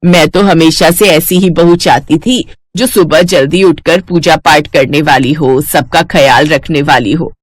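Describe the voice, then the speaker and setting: A woman playing a kind older mother-in-law who speaks gently and gives household instructions. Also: low-pitched, measured pace, few pauses, no room sound. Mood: approving.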